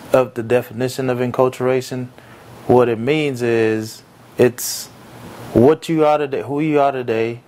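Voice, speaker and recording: A young man born in Haiti and raised in America, answering interview questions in an earnest, reflective way with frequent pauses and fillers. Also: mid-range voice, darkish tone. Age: young adult. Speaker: entrepreneur interviewee.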